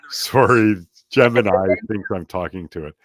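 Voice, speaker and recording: A man's voice: fairly low pitch, normal speed, fairly bright tone, no echo. A middle-aged man as an interview guest, chatting casually and rambling off onto tangents with self-deprecating asides.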